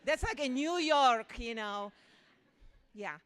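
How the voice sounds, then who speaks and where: A woman's voice: sits high, expressive, slow, a bit bright. A woman from Poland with a Polish accent, the founder of a physics workshop, giving an emotional and effusive welcome speech to an event audience. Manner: emotional and effusive, gushing with enthusiasm. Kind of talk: warm public welcome speech. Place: lecture event hall.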